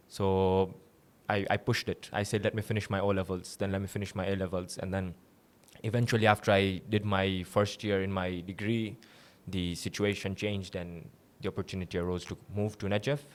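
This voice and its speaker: Young male guest from Tanzania, low-pitched and easygoing, recounting his path with a smile. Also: normal speed. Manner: relaxed storytelling with light laughs. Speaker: guest, seminary student. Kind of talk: casual interview.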